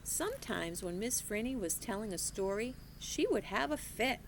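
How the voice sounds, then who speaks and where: A woman teacher reading a children's novel aloud in an expressive, unhurried storytelling manner. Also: medium pitch, slight background noise. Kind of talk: children's book read-aloud.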